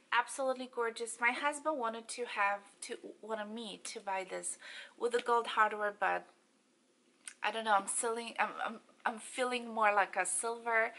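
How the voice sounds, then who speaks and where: A woman's voice: a little high, sharp tone. A middle-aged woman from Poland with a Polish accent, chatting casually to camera and answering viewer questions with lots of fillers and light laughs. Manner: chatty, loose phrasing with many fillers. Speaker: vlogger.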